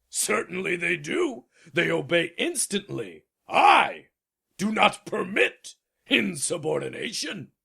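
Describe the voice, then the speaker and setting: Pompous old king, very gravelly, high-pitched, imperious, theatrical, storybook audio drama. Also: big pitch swings, slow pace, pushed and bright, exaggerated voice. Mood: imperious, indignant. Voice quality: gravelly, raspy. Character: proud, pompous old king of a tiny planet.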